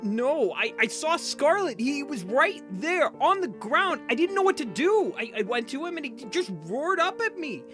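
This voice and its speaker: A woman's voice: sits high, very animated, moderate pace, hardly any pauses, fairly bright tone. A woman playing a terrified young male teammate who stammers fearfully in an audio drama. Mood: panicked. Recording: music clearly behind.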